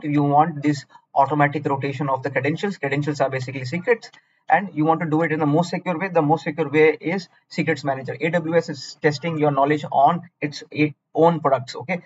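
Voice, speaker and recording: A man's voice: on the high side, muffled recording, slight background noise. A man with an Indian accent tutoring through exam questions, blunt and repetitive, scolding the listener at times. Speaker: cloud certification tutor. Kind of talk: screen-recorded exam walkthrough.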